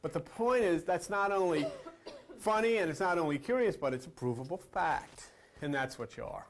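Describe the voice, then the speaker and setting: A man's voice: a little high, lively intonation, normal speed, slight background noise. A man giving an esoteric sermon-style lecture as a spiritual teacher, emphatic, repeating phrases and asking rhetorical questions.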